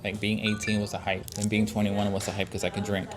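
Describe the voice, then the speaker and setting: A woman's voice: a bass voice, completely flat delivery, fast, over music. A woman in her forties vlogging, talking in a reflective, earnest and chatty way. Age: 40s. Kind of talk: casual vlog talk.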